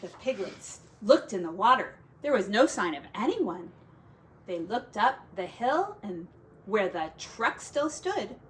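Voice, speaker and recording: A woman's voice: mid-range voice, big pitch swings, unhurried, slight background noise. A woman who teaches, reading a picture book aloud to children expressively, drawing out key words for drama and adding casual asides.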